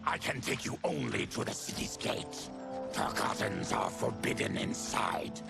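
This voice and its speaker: A grave male monster warrior from a video game, with extremely gravelly tones. He is an afflicted outcast who talks slowly and solemnly, with music behind him. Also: on the low side, wildly swooping pitch, full character voice. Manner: solemn, measured.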